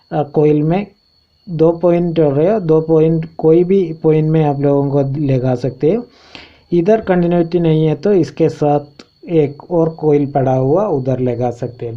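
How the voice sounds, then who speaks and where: A man giving an informal step-by-step repair tutorial, explaining in a halting, repetitive way. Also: on the high side. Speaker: phone repair instructor and channel host. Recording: dull lo-fi home recording.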